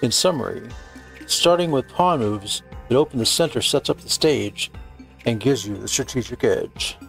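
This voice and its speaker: A man's voice: mid-range voice, animated, normal speed, gravelly, soft background music. A man hosting a game playthrough, reading visual novel text aloud and adding dry, joking commentary. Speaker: gaming video host.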